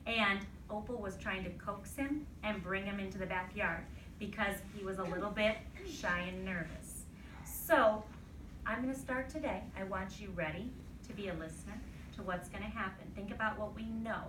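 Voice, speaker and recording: A woman teacher reading aloud to a class and giving pupils deliberate, instructive directions, checking that they follow along. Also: medium pitch. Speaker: elementary school teacher. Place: classroom, recorded from a distance. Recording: roomy, distant mic, faint noise.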